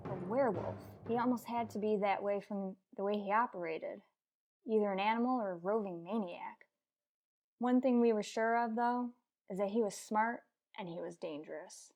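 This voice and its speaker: A woman's voice: mid-range voice, normal speed, darkish tone, natural voice. A woman playing a tough, businesslike police detective sergeant who narrates a radio crime drama in a clipped, matter-of-fact way. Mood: grim narration. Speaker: narrator and lead actor.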